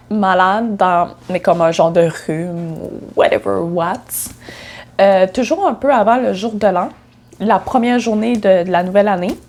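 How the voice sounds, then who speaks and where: A woman's voice: mid-range voice, darkish tone. A woman with a Quebec French accent, talking casually in a vlog as a spiritual coach, slightly congested from a cold and filling her speech with hesitations. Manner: chatty, many fillers and restarts.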